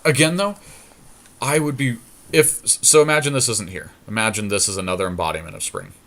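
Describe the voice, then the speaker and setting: A man's voice: lowish voice, brisk, fairly bright tone. A man co-hosting a podcast, thinking aloud in casual, slangy commentary as he plays a card game draft. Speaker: podcast co-host.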